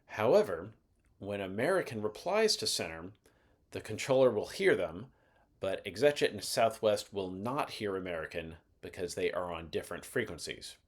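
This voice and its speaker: A man's voice: fairly low pitch, expressive, normal speed. A man giving scripted instructional narration as the host of an explainer video, in a methodical, explanatory manner. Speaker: host of an aviation explainer channel.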